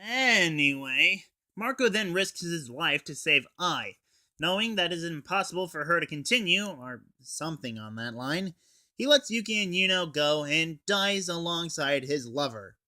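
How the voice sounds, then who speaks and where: A man's voice: fairly high pitch, animated, moderate pace, sharp tone, dry recording. A teenage male reviewer giving an informal, sweary, scripted comic recap. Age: teens. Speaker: anime reviewer.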